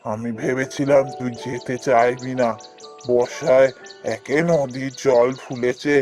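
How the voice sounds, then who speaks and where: A man voicing an earnest, kindly village gentleman in a cartoon fairy tale, speaking familiarly like a patron to a junior. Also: medium pitch, little pitch movement, takes it slowly, faint music behind, close to an everyday voice. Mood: grateful.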